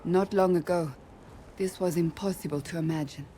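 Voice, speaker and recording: An actress as an earnest warrior woman from a fantasy game. Her voice is fairly low, measured and formal, over faint ambient noise. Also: fairly even intonation, mellow, natural voice. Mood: tender, earnest. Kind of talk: video game character acting.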